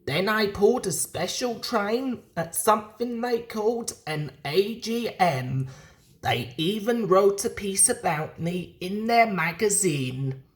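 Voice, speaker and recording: A woman's voice: mid-range voice, animated, takes it slowly, voice pushed up. A woman voicing a proud, chatty male steam engine telling of adventures in a children's storybook. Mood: dramatic, animated. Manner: boastful, dramatic storytelling.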